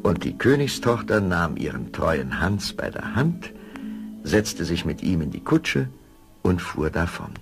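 A very deep-voiced man narrates a fairy tale. His delivery is lively and varied, with a gravelly edge, and music plays faintly around the scripted storytelling. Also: a little slow.

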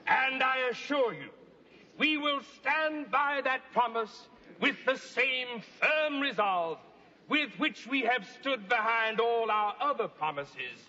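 An actor playing a pompous, indignant gentleman congressman, speaking in grand theatrical oratory for a television tall tale. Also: extremely high pitch, animated, slow pace, full character voice. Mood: pompous, oratorical. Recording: echoey hall, dull old TV sound.

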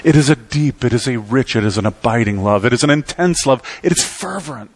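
A man's voice: lively intonation, fairly quick, no room sound. Middle-aged man preaching with rising emphasis. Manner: emphatic preaching with sweeping pitch and volume swings. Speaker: pastor. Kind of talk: sermon. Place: church sanctuary.

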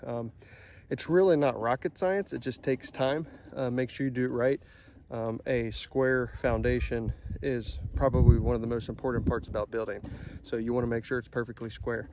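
Man, thirties, relaxed plain talk, lots of uh, outdoors on a job site, phone audio, noisy background. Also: mid-range voice, normal speed. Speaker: vlogger building a pole barn. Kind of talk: casual how-to narration. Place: outdoors on a gravel building site. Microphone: handheld phone camera.

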